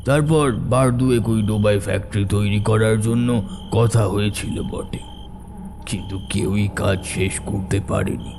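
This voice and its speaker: A man playing a concerned older village uncle in a cartoon horror story, speaking gravely and deliberately as he cautions. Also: lowish voice, noisy background. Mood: grave, foreboding.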